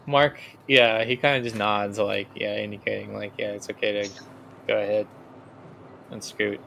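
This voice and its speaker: Deep, gravelly man running a tabletop game. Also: pushed and bright, slight background noise. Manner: halting, conversational narration. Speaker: game master. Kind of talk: narrating a tabletop role-playing game, casual.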